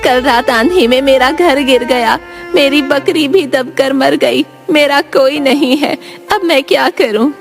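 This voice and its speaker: A woman's voice: high voice, unhurried, creaky. A woman voicing a poor, lonely old village woman in a cartoon story, plaintive and pleading. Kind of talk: Hindi cartoon moral story acting. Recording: music behind.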